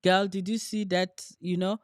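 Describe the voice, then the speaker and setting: An earnest woman co-hosts a relationship podcast, talking her points through in casual chat and pausing to search for words. The sound is dry and close, with no room echo. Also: on the low side, little pitch movement. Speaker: podcast co-host.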